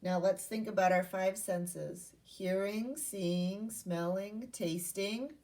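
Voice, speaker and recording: A woman's voice: medium pitch, takes it slowly, mellow, distant mic. A woman primary school teacher giving an interactive read-aloud lesson to young children, asking questions and repeating key points in an instructional way.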